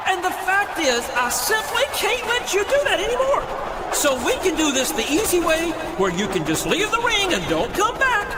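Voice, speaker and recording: Crowd roar and heavy noise surround an officious, scheming wrestling manager in a video game. His extremely high male voice scolds and lays down the law with animated exasperation. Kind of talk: dramatic wrestling promo. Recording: noisy arena with loud crowd.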